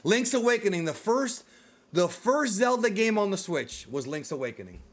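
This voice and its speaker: A man hosting a casual discussion, talking in an animated, slangy way like an excited fan. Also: sits high, normal speed. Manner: animated, slangy, addresses co-host as dude and yo. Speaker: host of a video game movie discussion show.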